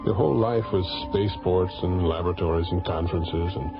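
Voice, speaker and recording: Quiet tired scientist guy, real low voice, dull old radio sound w/ music. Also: fairly quick. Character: scientist man, reserved and weary. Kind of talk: 1980s radio drama acting.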